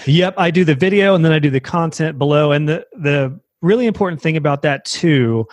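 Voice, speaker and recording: A man's voice: a little high, a little fast, no echo. Man explaining casually, dry close sound. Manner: conversational, explains at length with fillers and restarts. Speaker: web design course creator. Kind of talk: casual podcast conversation.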